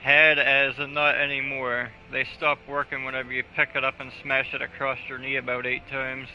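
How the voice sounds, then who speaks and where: A man's voice: medium pitch, completely flat delivery, normal speed. A man streaming a video game, chatting casually with crude, offhand jokes. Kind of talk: casual gaming livestream chat. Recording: dull lo-fi mic with game music.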